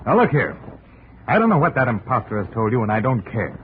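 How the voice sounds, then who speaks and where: Man as pompous, indignant husband in an old radio drama. Stiff, emphatic, very animated, tinny sound. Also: mid-range voice, brisk, lightly acted. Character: indignant, pompous husband and loan company executive. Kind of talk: 1950s radio acting. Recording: noisy, narrow-band vintage radio recording.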